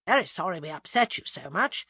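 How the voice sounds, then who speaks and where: A woman voicing a polite, composed, teasing upper-class ex-military man in an audiobook, with measured delivery. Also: medium pitch, bright, forceful tone, dry recording, clean studio sound. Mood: calm, reflective. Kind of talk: audiobook character acting.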